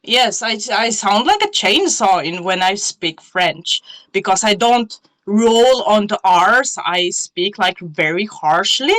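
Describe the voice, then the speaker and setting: A woman from Finland with a strong Finnish accent, chatting as a guest, giggling often and pausing to search for words. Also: medium pitch, animated, a bit bright, no room sound. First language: Finnish-Swedish bilingual. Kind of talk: casual interview chat.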